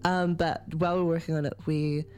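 A woman's voice: low-pitched, flat delivery, fairly quick, a little mellow. Brief: laid-back woman artist guest, giggly, chatty, studio mic. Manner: giggly, lots of "like" fillers. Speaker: musician guest. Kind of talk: casual radio interview chat. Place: radio studio.

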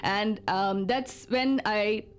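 A woman from South India whose first language is Malayalam, a researcher and lecturer explaining her work as a radio interview guest in a hesitant way, with many pauses and fillers. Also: mid-range voice, clean tone. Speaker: speech technology researcher and lecturer.

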